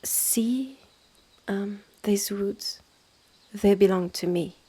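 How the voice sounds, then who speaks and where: Woman doing a witch's sister for roleplay audio, french accent, breathy n husky, slow, haughty, guarding her land. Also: mid-range voice, dark tone. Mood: stern, territorial. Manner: slow, measured, condescending, with breathy drops to near-whisper. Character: haughty, territorial witch's sister running a secretive woodland hotel. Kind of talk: scripted roleplay audio drama.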